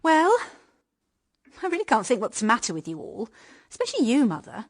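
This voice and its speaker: An actress in a radio drama playing an evasive young English wife who chatters breezily with brittle defiance. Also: female voice, on the high side, wildly swooping pitch, a little fast. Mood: uneasy, probing. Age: young adult. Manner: breezy, over-bright society chatter. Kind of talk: BBC radio drama acting.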